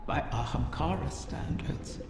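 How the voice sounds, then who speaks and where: An actress voicing an ancient female wish-dragon in a game, sly and aristocratic, speaking in slow, musing monologue. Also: rumbling low, animated, gravelly, in a whisper, exaggerated voice. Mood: wistful, solemn. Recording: roomy, long reverb, noisy ambience behind.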